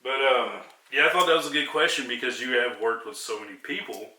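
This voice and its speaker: Encouraging interviewer in an echoey room. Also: male voice, medium pitch, lively intonation, brisk, sharp tone, distant mic. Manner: encouraging, repetitive affirmations. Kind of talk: casual interview talk.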